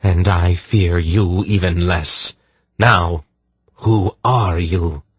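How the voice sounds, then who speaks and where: A man's voice: low voice, fairly even intonation, extremely slow, breathy edge, dry recording, full character voice. A man voicing a stern sorceress in a fantasy audiobook, cold, imperious and sure of her power. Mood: stern, commanding.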